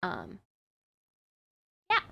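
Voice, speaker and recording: A woman's voice: very slow, muffled recording, slight background noise. A woman streamer chatting casually while she plays, answering her chat with plenty of fillers and little laughs.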